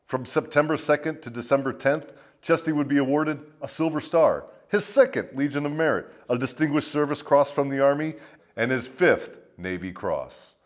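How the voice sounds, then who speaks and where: A man hosting a military storytelling show, delivering dramatic scripted tales with crude, swaggering, profane humour. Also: mid-range voice. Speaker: host of a military storytelling show, a first sergeant.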